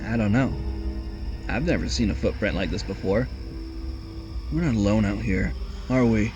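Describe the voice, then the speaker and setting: A man's voice: on the low side, moderate pace, music in the background, lightly acted. A man playing an anxious, fretful member of a lost expedition in an audio drama, sounding agitated. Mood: uneasy.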